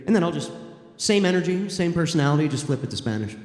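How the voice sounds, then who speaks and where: A man's voice: a little high, big pitch swings, fast, darkish tone. A man voice actor on a convention panel, answering questions in a loose, rambling way with many ums and restarts. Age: adult, former college theater student. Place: large convention hall. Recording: echoey convention hall.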